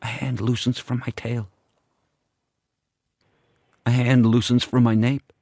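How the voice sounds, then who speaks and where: A man's voice: mid-range voice, level tone, creaky, a little mellow, no room sound. A man voicing an intense, devoted sled dog narrating its thoughts in terse, solemn, incantatory phrases for a radio drama. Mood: grim, struggling. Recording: noisy rushing ambience behind voice.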